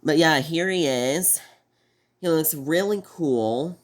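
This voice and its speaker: Slow-talking male reviewer, sick and slightly off-sounding, rambling and halting. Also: a little high. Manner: halting, rambling, frequent restarts. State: sick, voice a little off. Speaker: toy review host. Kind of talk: casual video review.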